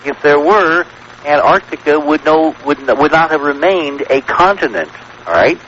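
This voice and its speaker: A man's voice: on the high side, lively intonation, moderate pace, fairly bright tone. An American man as a radio guest, explaining his theories in an assertive, lecturing way and checking that his points land. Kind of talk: radio interview, explanatory talk. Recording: noisy, dull vintage radio broadcast.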